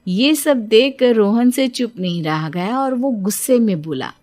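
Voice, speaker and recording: A woman's voice: on the high side, lively intonation, a little slow, darkish tone. A woman reading scripted narration for a story in a storytelling style.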